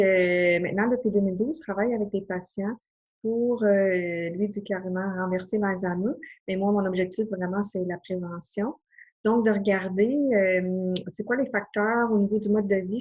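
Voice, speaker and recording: Québécoise health coach, 40s, mid-pitched and slightly husky, earnest and hesitant delivery. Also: female voice, a little mellow, low-quality recording. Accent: Québécois French. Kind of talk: casual explanatory talk to camera.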